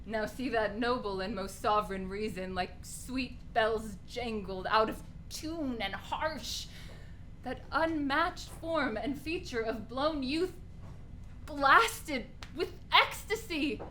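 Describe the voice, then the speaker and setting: A high, bright voice of an actress plays a gentle young noblewoman in heartbreak. The lines are lamented slowly as verse in a classical radio drama. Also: lively intonation, slight background noise, full character voice, poor audio. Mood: grieving. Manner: slow, lamenting verse delivery. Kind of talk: Shakespearean radio play acting.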